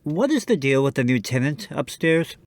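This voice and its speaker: A man's voice: on the high side, very animated, normal speed, no echo. A man hosting a radio show in scripted comedic banter, playing the polite, slightly hesitant straight man.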